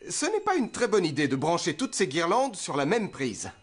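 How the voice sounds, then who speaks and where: An actor playing a calm, sensible, cautioning firefighter hero in a children's cartoon. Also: male voice, high voice, moderate pace, husky, faint music behind, exaggerated voice. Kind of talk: French-dubbed children's cartoon.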